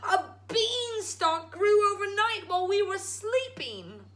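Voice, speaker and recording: A woman's voice: extremely high pitch, sing-song, slow pace, fairly bright tone, pitched above the performer's own voice, exaggerated voice. A woman voicing a stern, fretful widowed mother in a storybook, scolding and emphatic. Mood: panicked. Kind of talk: storybook read-aloud.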